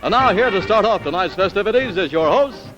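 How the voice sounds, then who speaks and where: A man announces an old television game show with showy emphasis. His high voice swoops widely, over prominent music on a muffled vintage soundtrack. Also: animated, a little fast.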